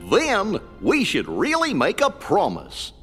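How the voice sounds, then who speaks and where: A man's voice: sits high, wildly swooping pitch, slow pace, fairly bright tone, full character voice. A man voicing a gangly, good-natured cartoon dog in a video game, folksy and earnest with a goofy drawl. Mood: earnest, encouraging. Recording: long reverb, music behind.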